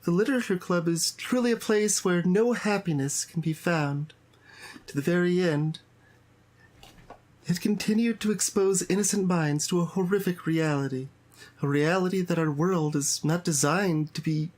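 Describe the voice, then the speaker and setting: Man putting on a higher-pitched, sorrowful girl's voice. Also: moderate pace, darkish tone, close to an everyday voice. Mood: solemn farewell. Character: earnest, sorrowful girl reading her farewell letter. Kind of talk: dramatic read-aloud of visual novel text.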